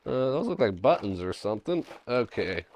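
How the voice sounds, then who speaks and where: A middle-aged man with a hoarse voice after a cold, hosting a casual unboxing vlog and rambling aloud as he digs through a storage locker, recorded on a chest-mounted camera in a dim indoor room. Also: medium pitch, big pitch swings, moderate pace, a little mellow, lo-fi sound. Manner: rambling, thinking aloud while digging through items. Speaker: storage locker reseller, channel host.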